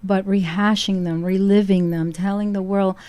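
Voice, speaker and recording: A woman's voice: mid-range voice, fairly even intonation, moderate pace, warm tone, clear, resonant tone, no echo. A middle-aged woman from Ecuador with a Brooklyn accent, a radio show guest talking reflectively and repeating phrases for emphasis. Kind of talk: casual chat. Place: radio studio.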